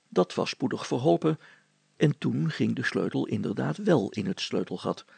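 A man reading a story aloud as scripted narration, measured and articulate. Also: mid-range voice, gravelly, a little mellow, breathy edge, dry recording.

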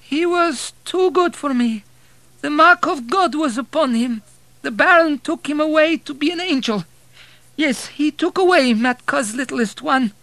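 A man's voice: very high-pitched, a little slow, bright, forceful tone, no echo, voice pushed up, full character voice, low-quality recording. A man narrating an audiobook, voicing a querulous, whining, frail old woman with a thin, cracked, quavering voice. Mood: sad, distant. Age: elderly. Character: querulous, toothless, frail old Polish peasant woman, suspicious.

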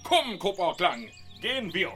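An actor playing an excitable army major in an audio drama, with a German accent and German words mixed into his Swedish. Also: sits high, faint music behind, exaggerated voice. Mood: eager, brisk.

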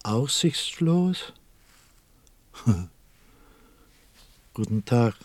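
An actor playing a weary, dutiful lamplighter in a German audio drama, with a resigned, grumbling, literal-minded manner. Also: male voice, medium pitch, lively intonation, extremely slow, darkish tone, no room sound.

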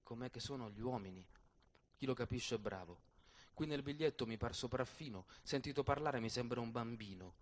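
A man's voice: mid-range voice, a little fast, pitched below the performer's own voice, close to an everyday voice. A man playing a capricious, exacting young lady of the stage, with dramatic, declamatory delivery, in a radio theatre piece. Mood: musing, indignant. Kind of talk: theatrical verse monologue and dialogue. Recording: voice over prominent plucked guitar music.

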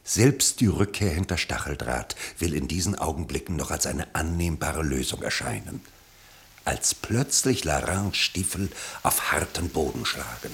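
A male narrator with a very low voice and a gravelly creak. He reads a literary radio play script in measured phrases, with a lively, wide-ranging melody.

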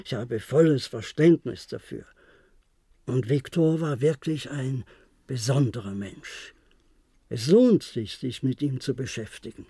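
An actor in a German radio play, playing an older, resigned former diplomat who reminisces in a measured, formal way. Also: male voice, mid-range voice, expressive, warm tone, breathy edge, dry recording, studio quality. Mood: understanding, regretful. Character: resigned, reflective former diplomat, aging bunker survivor.

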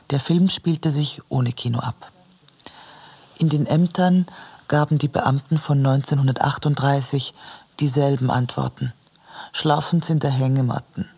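A man reading literary prose for a radio drama in a measured, deliberate way. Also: on the high side, creaky, darkish tone, breathy edge. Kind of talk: literary narration, radio play.

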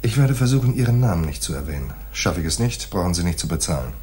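A man's voice: deep voice, very animated, fast, a little mellow, husky, full character voice. An actor playing a laconic, shrewd private detective in a radio play, dry and slangy, telling his case like noir. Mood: dry, businesslike. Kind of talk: 1980s German radio play, noir dialogue and narration.

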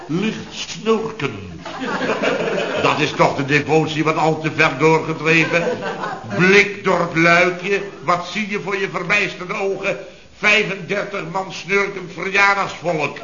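A man acting an exasperated male boss in a vintage radio comedy. He grumbles and rants at length in a fairly high voice, thick with sarcasm. The old recording is dull and echoey, with an audience behind it. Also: natural voice. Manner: grumbling, sarcastic, long-winded ranting.

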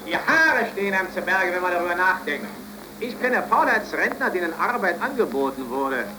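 An actor playing a smug, opinionated pub regular, pompous and disapproving, in a 1930s radio drama. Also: male voice, high voice, very animated, brisk, noisy background. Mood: smug, indignant. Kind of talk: 1930s German radio play acting. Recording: roomy 1930s radio recording with music. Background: music.

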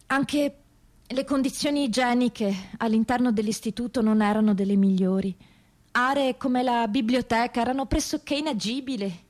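A woman performing a dramatic radio theatre monologue as a testimony, with measured, grave and deliberate phrasing. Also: on the high side. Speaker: actress reading prisoners' testimonies.

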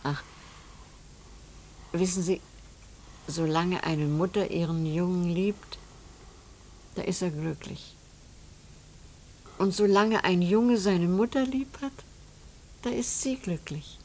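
An actress playing an elderly, devoted mother in a German radio play, chatty and wistful, with halting, tender phrasing. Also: fairly low pitch, a little mellow. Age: older. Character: elderly devoted mother, pious, chatty, anxious for her son.

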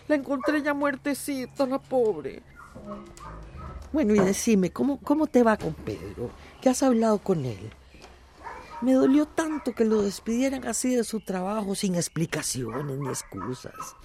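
An actress plays a caring, worried country aunt in a radio drama. Her voice is plaintive and tender, with very lively intonation. Clear noise runs behind her. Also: a little high. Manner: tender, plaintive, talks to herself. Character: tender, worried rural aunt who prays to Mother Earth.

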